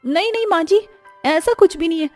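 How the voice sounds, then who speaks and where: A woman voicing a timid young newlywed bride who pleads and defends herself in a high, strained voice. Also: moderate pace, darkish tone, soft background music, close to an everyday voice. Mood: pleading.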